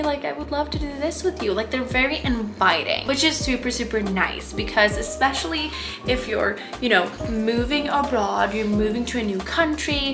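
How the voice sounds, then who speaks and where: Chatty young woman vlogging. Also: fairly high pitch. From Sweden. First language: Swedish. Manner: chatty and candid, lots of "like". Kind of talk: casual talk to camera. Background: background music.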